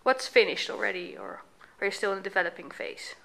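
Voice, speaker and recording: Lively bright-voiced woman interviewer. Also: mid-range voice, fairly quick, creaky. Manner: friendly prompting questions, rephrases answers back. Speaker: teacher interviewing students. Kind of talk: casual on-site interview.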